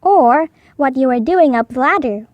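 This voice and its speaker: An emphatic building site foreman from a children's story, read by a woman, questioning and incredulous. The voice is high for the role and very animated, recorded dry. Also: normal speed, no echo, lo-fi sound.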